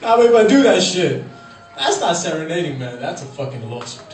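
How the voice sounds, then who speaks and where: A man from India with an urban American accent performing stand-up comedy, slangy and profane, with theatrical pauses. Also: on the high side, sing-song, faint music behind. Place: live comedy club stage. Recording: roomy club, distant mic, loud noise.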